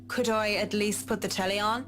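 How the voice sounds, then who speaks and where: A woman's voice: medium pitch, steady tone, normal speed, music in the background, lightly acted. A woman voicing a polite, jittery young working woman in a visual novel, speaking hesitantly. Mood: polite, awkward. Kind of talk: visual novel game voice acting.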